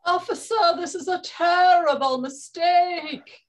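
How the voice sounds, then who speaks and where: A woman's voice: very high-pitched, slow. A woman playing a grandiose, indignant fortune-teller and radio psychic, with a pompous theatrical manner. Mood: outraged, grandiose.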